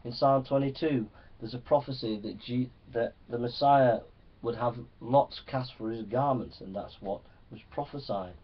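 A man's voice: medium pitch, moderate pace, slightly rough. Man preaching, distant dull recording. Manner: earnest, exhorting, often seeking assent. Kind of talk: Bible teaching sermon to young people. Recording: distant microphone, slightly dull sound.

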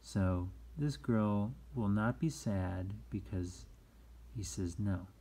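Slow, low-voiced man reading to children. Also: warm tone. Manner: explains simply, as to young children. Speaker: children's book read-aloud host. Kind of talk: informal picture-book read-aloud with asides.

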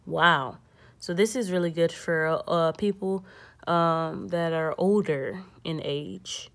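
A woman's voice: lowish voice, slow, creaky. A young woman hosting a casual step-by-step tech tutorial, thinking aloud and reading prompts in an informal way.

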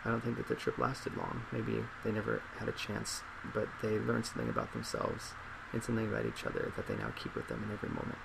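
A man in his twenties in a soft-spoken ASMR role play as a psychologist, measured and reflective. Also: fairly low pitch, creaky. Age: 20s. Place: small indoor office at a desk. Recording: steady loud laptop fan hiss.

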